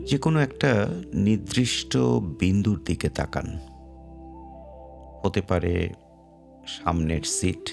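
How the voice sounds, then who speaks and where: A man narrating a guided meditation in a measured way, pausing between short phrases. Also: low voice, vocal fry. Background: faint music.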